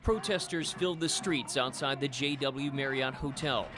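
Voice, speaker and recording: A man's voice: mid-range voice, moderate pace, heavy background noise. A man with an American accent reporting as an investigative television news reporter in a scripted style.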